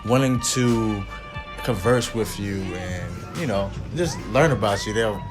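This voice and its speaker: A man's voice: on the low side, measured pace, music in the background. A man in his thirties, chatting casually as a co-host and rambling with frequent fillers. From United States. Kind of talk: casual chat.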